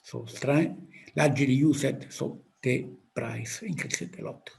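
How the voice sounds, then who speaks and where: Slow gravelly Italian-accented man. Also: medium pitch, a little mellow. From Italy. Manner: slow, halting, thinking aloud. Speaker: physicist guest. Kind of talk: interview answers.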